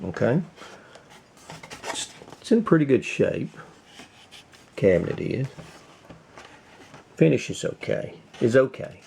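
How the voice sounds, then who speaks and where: A man giving a casual hands-on demonstration as a video host, thinking aloud in short, halting phrases. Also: fairly low pitch, vocal fry, a little mellow, slight background noise. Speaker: host of a radio repair video.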